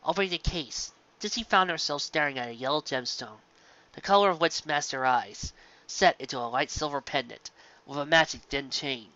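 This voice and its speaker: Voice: medium pitch, normal speed, sharp tone, dull audio. A narrator reading a scripted story aloud. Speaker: narrator of fan fiction.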